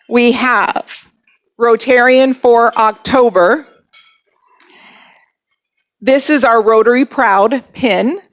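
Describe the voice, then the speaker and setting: A woman with a slightly high voice runs a club lunch meeting from a handheld mic. She's chatty and self-deprecating. Her announcements are casual and friendly. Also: fairly bright tone. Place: banquet room at a lunch meeting.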